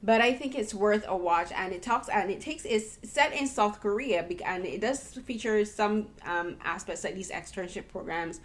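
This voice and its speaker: A young woman hosts a film interview at a medium pitch. She talks earnestly and thoughtfully, often stopping to rephrase mid-sentence. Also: moderate pace, hardly any pauses. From Barbados. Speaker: podcast host and interviewer. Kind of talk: casual conversational film interview.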